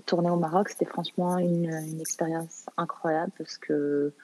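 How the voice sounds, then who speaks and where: A woman's voice: low-pitched, little pitch movement, moderate pace, mellow, breathy edge, rough recording. A woman from Canada speaking casually as an interview guest, often hesitant and repeating phrases as she searches for words. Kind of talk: casual, halting interview conversation.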